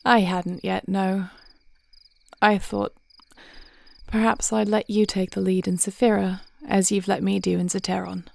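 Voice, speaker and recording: A woman playing a devoted warrior princess in an intimate fantasy audio roleplay, speaking in measured, formal phrases with a reassuring, thoughtful manner. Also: medium pitch, little pitch movement, vocal fry, a little mellow. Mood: calm, thoughtful. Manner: measured, articulate, formal old-fashioned phrasing.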